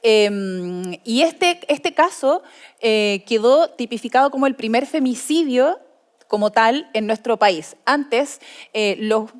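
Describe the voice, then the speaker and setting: A woman's voice: a little high, lively intonation, takes it slowly. A woman with a Chilean accent co-hosting a live talk, explaining things hesitantly and searching for words. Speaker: event co-host.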